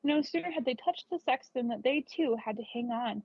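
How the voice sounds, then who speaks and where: A woman narrates a children's fairy tale from a script. Her voice is high and a little breathy, and the recording sounds dull and dry. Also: normal speed, dry recording. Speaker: storybook reader.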